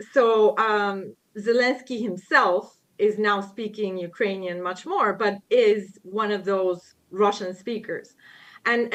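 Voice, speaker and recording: A woman lecturer answering audience questions at a public talk, speaking in a measured way with frequent pauses and fillers. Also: mid-range voice, a bit bright. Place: public library event room.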